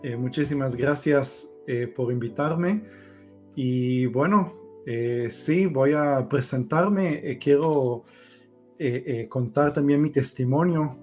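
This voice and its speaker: A man in his thirties from Israel, whose first language is Hebrew, telling his personal story in Spanish slowly and hesitantly with many fillers. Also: medium pitch, darkish tone, soft background music. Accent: Israeli, Argentine-influenced Spanish. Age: 30s. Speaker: guest giving a testimony. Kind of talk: personal testimony.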